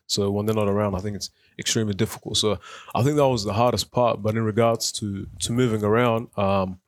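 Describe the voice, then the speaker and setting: A young man from South Sudan speaks as a guest on community radio. His voice sits low, and he answers thoughtfully, pausing on fillers and restarting his sentences. The studio sound is close and dry. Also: no echo. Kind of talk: radio interview, personal story.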